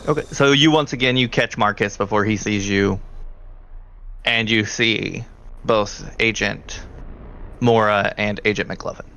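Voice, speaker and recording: A man's voice: on the low side, fairly even intonation, a little slow, pushed and bright, slight background noise, rough recording. A man speaking casually as game master, improvising narration for a tabletop role-playing game. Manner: casual, conversational.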